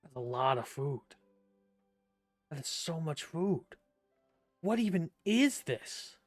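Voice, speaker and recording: A woman's voice: low-pitched, big pitch swings, slow, faint music behind. A woman streaming a video game, making casual sarcastic comments over it. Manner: sarcastic, joking asides to the game.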